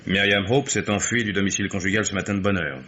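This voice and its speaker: Low-voiced, unruffled private detective. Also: male voice, steady tone, bright, forceful tone, a little hiss or hum. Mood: earnest, conceding. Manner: measured, polite, unruffled. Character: composed, evasive, courteous male private investigator. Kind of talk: French radio mystery play acting.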